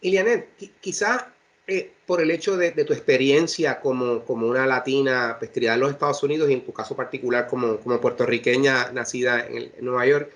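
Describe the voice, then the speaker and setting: A man's voice: mid-range voice, steady tone, fairly quick, a bit bright. A man hosting a podcast, asking long, hedging questions with many restarts. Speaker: host. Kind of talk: podcast interviewing.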